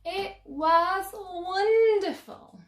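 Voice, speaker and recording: A woman reading a picture book aloud to children with theatrical, drawn-out emphasis. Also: very high-pitched, reverberant. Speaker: children's story reader.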